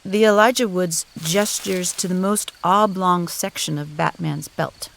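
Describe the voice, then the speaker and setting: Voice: mid-range voice, measured pace, vocal fry, a bit bright, slight background noise. A narrator giving formal, wordy, mock-serious dramatic narration for an improvised audio comedy. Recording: prominent music behind voice. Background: music.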